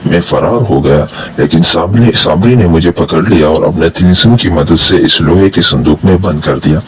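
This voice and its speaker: A man's voice: low-pitched, normal speed, a little hiss or hum, lightly acted, rough recording. A man playing a grateful, solemn jinn in a dramatized children's story, with formal, emphatic speech. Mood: solemn, generous.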